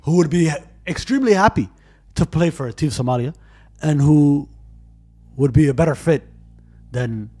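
A man weighs in on a podcast chat, hedging carefully and leaning on slang and fillers. His voice sits a little high for a man. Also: lively intonation, moderate pace, long pauses, warm tone. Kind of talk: casual chat.

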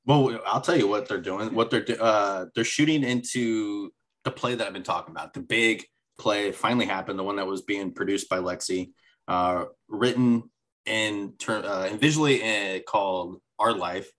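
A man's voice: on the low side, moderate pace, fairly bright tone. A man on a podcast recapping a TV episode in casual chat, rambling with many fillers and restarts. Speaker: podcast co-host.

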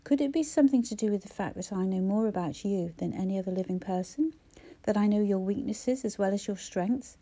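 A woman's voice: fairly high pitch, dark tone, pitched above the performer's own voice, lightly acted. A woman voicing an emotional, defiant heroine.

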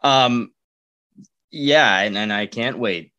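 A man hosting a comedy podcast, speaking deliberately with dry politeness and some halting phrasing. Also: mid-range voice, sharp tone, clean tone, dull audio. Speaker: podcast host. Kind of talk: podcast hosting with comic banter.

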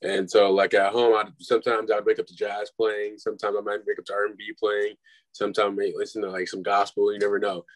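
A relaxed young man from Milwaukee chats as an interview guest, calling people "bro" and trailing into easy laughs. His voice sits a bit low. The sound is dry and close, with almost no room around it. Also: fast, no echo, poor audio. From Milwaukee, Wisconsin, United States. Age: 20s. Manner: laid-back, says "bro", laughs between phrases. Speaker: guest, dubstep producer and DJ. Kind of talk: casual interview chat.

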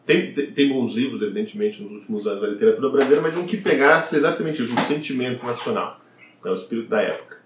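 A man's voice: medium pitch, fast talker. Man rambling casually in an echoey room. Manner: searching for words, many fillers and tag questions. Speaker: commentator on a book. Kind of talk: casual chat. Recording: echoey room with faint noise.

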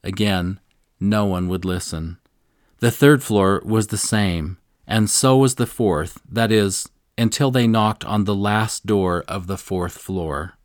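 A man co-teaching a scripture lesson, earnest and measured, slowing down to stress key points and sharing stories from his own life. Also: lowish voice, no echo, clean studio sound. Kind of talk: devotional teaching with personal stories.

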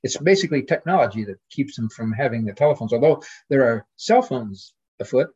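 A man's voice: mid-range voice, sing-song, a little fast, a little mellow, husky, dull audio. A man speaking as a podcast guest, a literary scholar in casual scholarly discussion.

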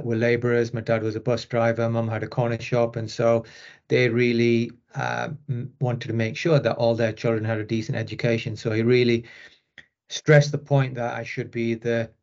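A man's voice: mid-range voice, lo-fi sound. A middle-aged man from Rochdale with a Northern English accent, answering interview questions conversationally. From Rochdale, northern England. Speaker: interview guest, sports medicine consultant.

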